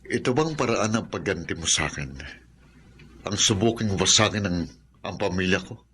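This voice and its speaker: Earnest devout dad in filipino radio drama, lowish voice, sincere, slight noise behind. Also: male voice, animated, normal speed, fairly bright tone. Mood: firm, indignant. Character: earnest, God-fearing, steadfast family man and father.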